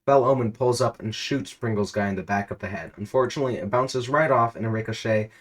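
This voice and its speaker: A man reading a silly, absurd story aloud in a deadpan narrating voice, now and then cracking up. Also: lowish voice, fast talker. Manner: deadpan narration, breaking into laughter.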